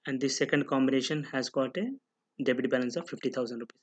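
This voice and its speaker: A man narrates a software tutorial as an instructor, explaining step by step and often posing questions that he then answers. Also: medium pitch, fairly even intonation, fast, husky. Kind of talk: screen-recorded tutorial narration. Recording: noticeable background noise with clicking.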